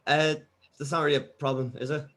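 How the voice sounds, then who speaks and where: A man playing a nervous, awkward young bass player at an audition, reading hesitantly from a script. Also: flat delivery, a little fast, fairly bright tone, close to an everyday voice. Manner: hesitant, tentative. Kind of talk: informal script table read.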